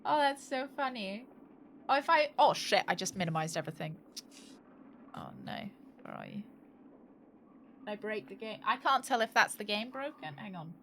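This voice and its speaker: A woman doing casual live game commentary, excitable and playful, reacting aloud and swearing freely. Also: mid-range voice, moderate pace, long pauses, a little hiss or hum. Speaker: game streamer. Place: indoor room, live gaming stream.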